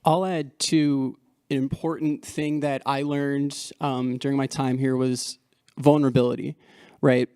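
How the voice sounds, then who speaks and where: A man's voice: medium pitch, flat delivery, unhurried, a little mellow, smooth, no room sound. A man speaking as an alumni panelist and musician, reflective and encouraging. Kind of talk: panel discussion remarks.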